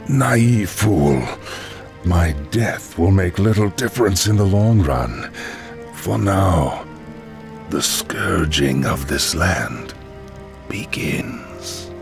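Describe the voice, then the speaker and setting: An actor playing a sinister, taunting necromancer cult leader in a fantasy video game, theatrical and ominous. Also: male voice, sits low, animated, drawn-out words, creaky, music in the background, heavily acted. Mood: smug, ominous.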